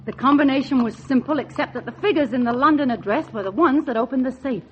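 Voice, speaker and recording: An actress as high-voiced scheming secretary, vintage radio. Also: female voice, quick pace, slight background noise, close to an everyday voice. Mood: tense, triumphant. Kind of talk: 1940s radio acting, first-person crime narration. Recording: dull vintage 1940s radio recording.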